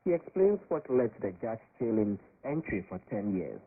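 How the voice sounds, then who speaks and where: A man reading a scripted news report in a measured, formal way. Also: fairly low pitch, sing-song. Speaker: radio reporter. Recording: tinny shortwave radio.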